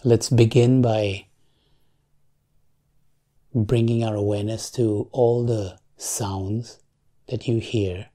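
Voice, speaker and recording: A man leading a guided meditation in a calm, measured way, leaving long pauses between short phrases. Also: fairly low pitch.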